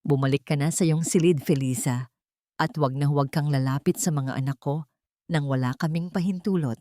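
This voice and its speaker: A woman's voice: sits low, fairly even intonation, brisk, warm tone, no echo, clean studio sound, lightly acted. A woman voicing a cold, commanding man, the stern master of a house, in an audiobook drama. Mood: cold anger. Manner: commanding, icy.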